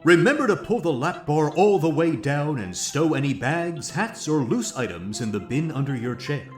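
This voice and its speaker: An actor playing an eager, nervous, loyal sidekick in a comedic audio drama, with boyish enthusiasm. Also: male voice, on the high side, lively intonation, moderate pace, music in the background, close to an everyday voice. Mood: chirpy announcing. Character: eager, excitable young male sidekick, nervous but loyal.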